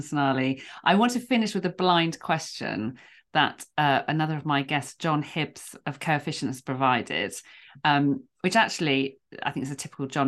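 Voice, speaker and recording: Casting note: woman podcast host, low-pitched, effusive and encouraging in conversation. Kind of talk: conversational interview.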